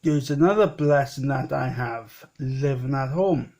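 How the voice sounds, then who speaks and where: A man's voice: medium pitch, a little slow, creaky, darkish tone. A man in his late thirties talking in a rambling personal vlog, often repeating himself and restarting. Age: 30s. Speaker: vlogger.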